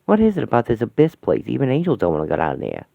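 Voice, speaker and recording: A man voicing a brash, blunt middle-aged woman who wisecracks loudly. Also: mid-range voice, wildly swooping pitch, quick pace, vocal fry, dry recording, voice pushed down, heavily acted. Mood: scornful. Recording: dry tinny phone-like sound.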